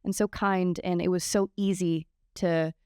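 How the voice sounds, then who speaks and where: Young woman actor, earnest, halting, smooth, slightly low voice. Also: flat delivery, darkish tone, no room sound, clean studio sound. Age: early 20s. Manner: earnest, halting, many fillers. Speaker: actor on a panel. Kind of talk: casual panel Q&A talk.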